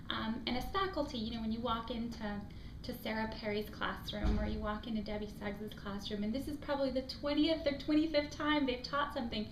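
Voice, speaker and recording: A woman's voice: fairly high pitch, creaky. A woman from Afghanistan, raised in the United States, with an American accent, who works as a school admissions director and answers interview questions in an earnest, reflective way with frequent ums. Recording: echoey room with background noise.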